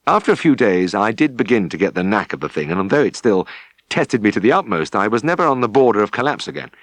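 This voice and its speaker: Low-voiced British man narrating. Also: very animated, quick pace, no room sound. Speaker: audiobook narrator. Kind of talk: scripted narration of a memoir.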